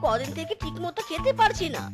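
A sly widower fox from a cartoon , acted out by a voice performer. The voice is high and whiny, melodramatic and shamelessly wheedling, over clearly audible background music. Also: very animated, quick pace. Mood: shameless, insistent. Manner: wheedling, melodramatic, fond of exclamations. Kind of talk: cartoon voice acting.

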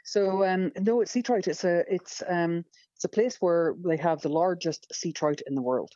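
A woman's voice: on the low side, normal speed, warm tone, no echo, muffled recording. A woman with a Northern Irish accent, a fishing guide telling stories as a podcast guest, enthusiastic and rambling with many fillers. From Northern Ireland.

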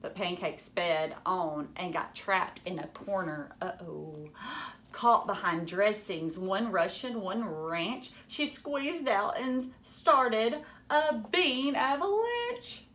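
Woman, thirties, storyteller, slow pace, animated and dramatic, teacherly asides, children's read-aloud. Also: mid-range voice. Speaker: storyteller reading a picture book aloud. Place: furnished room at home.

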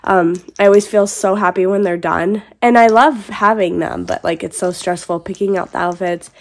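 A woman's voice: medium pitch, steady tone, normal speed. Chatty mom vlogging from her basement. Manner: chatty, rambling with many ums. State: stuffed up, congested. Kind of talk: casual vlog chat to camera. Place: home basement playroom, indoors. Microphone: phone held close to the face.

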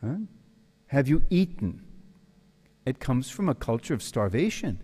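An American priest in his sixties from New York City, giving an animated retreat talk at a lectern in a large hall. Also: mid-range voice, unhurried, slightly rough. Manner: animated, gestures with both hands, tells jokes. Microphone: stand mic at the lectern. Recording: long hall reverb.

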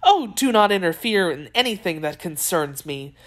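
A woman's voice: on the low side, sing-song, moderate pace. A woman voicing a secretive, imperious young Frenchwoman in an audiobook, speaking in a formal, emphatic and guarded way. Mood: earnest pleading.

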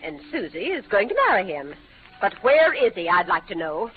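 Prim older aunt, indignant, scolding, highish animated voice, radio drama, narrow vintage recording. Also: female voice, normal speed, crowd noise. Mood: fretful, indignant. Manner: fussy, scolding, disapproving. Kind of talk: 1940s radio western acting. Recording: tinny old radio recording, music behind.

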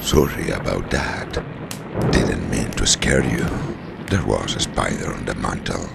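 An actor playing a courteous, sinister storyteller host who addresses the listener in old-time radio style. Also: male voice, very deep voice, expressive, vocal fry, over loud music, full character voice. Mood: polite, sly. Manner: polite, unhurried, addressing the listener. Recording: loud background noise and ambience.

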